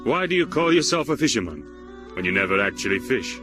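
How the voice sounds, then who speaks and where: An actor playing a pompous, self-important older zookeeper, grandiose and dramatic in a surreal comedy. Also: male voice, mid-range voice, big pitch swings, over music, close to an everyday voice. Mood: earnest, indignant. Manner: grandiose, drawn-out dramatic storytelling. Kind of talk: deadpan surreal sitcom dialogue.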